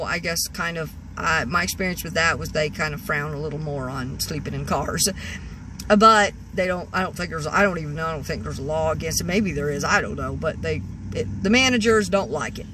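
A woman's voice: on the low side, noisy background. A woman in her sixties telling a rambling story from her parked car, with lots of restarts and fillers, filmed on a phone at arm's length. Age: 60s. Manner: rambling, many restarts and "you know"s. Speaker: vlogger. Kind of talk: casual storytelling. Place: parked car, indoors of vehicle.

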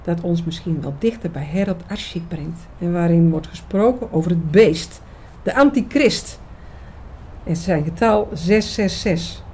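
A woman's voice: on the low side, warm tone, breathy edge. A woman playing an eager, knowing researcher in a scripted radio drama, explaining mysteries with emphasis. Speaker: actress in an audio drama.

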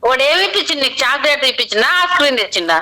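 A middle-aged woman scolding over the phone, exasperated and sharp. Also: high-pitched, very animated, very fast. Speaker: older sister on the phone. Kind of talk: heated phone argument.

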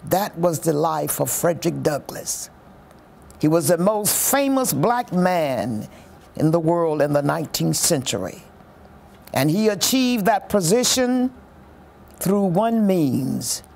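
A woman's voice: sits low, sing-song, slow, creaky, darkish tone. An older woman reading a prepared narration aloud as a readers theatre performer, recorded in a small room. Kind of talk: unaccompanied hymn singing and slow scripted reading. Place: small furnished indoor room.

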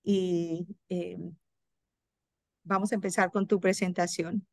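A woman's voice: fairly low pitch, flat delivery, takes it slowly, no echo, clean studio sound. A woman moderating an online course session, talking informally with frequent fillers and cueing the next slides. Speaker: webinar moderator.

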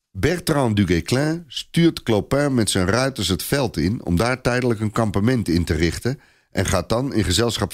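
A man narrating a scripted story in a dramatic storytelling style. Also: lowish voice.

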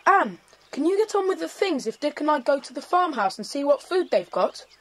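A woman playing a polite, capable English schoolchild in a children's audio drama, with brisk, well-mannered speech. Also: high voice, big pitch swings. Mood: puzzled.

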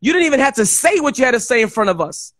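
A man's voice: extremely high pitch, very animated, fast, a bit bright, no room sound, clean studio sound. A man hosting, speaking in fervent, emphatic preacher style as he prays and exhorts over a guest. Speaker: host praying over a guest. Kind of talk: fervent impromptu prayer and exhortation.

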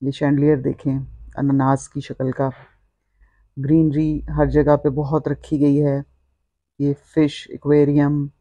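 A woman's voice: low-pitched, completely flat delivery, takes it slowly, mellow, no room sound, lo-fi sound. A middle-aged woman, narrating a walk-through vlog in a descriptive, explanatory way. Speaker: vlogger.

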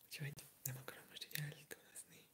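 An eighteen-year-old from Hungary whispering softly through an ASMR livestream, answering viewer comments while sick with a sore throat, rambling and hesitant. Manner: soft unvoiced whisper, rambling, hesitant with fillers.

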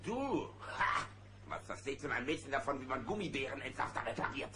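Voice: medium pitch, animated, fairly quick, natural voice. Eine Sprechrolle als mürrischer, stolzer Gummibär und Tüftler in einem Kinderhörspiel, bärbeißig und widerwillig. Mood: grumpy, scornful. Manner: gruff, huffy, grudging. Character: grumpy proud male gummy bear handyman. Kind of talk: children's cartoon audio drama. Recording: noisy old cassette recording.

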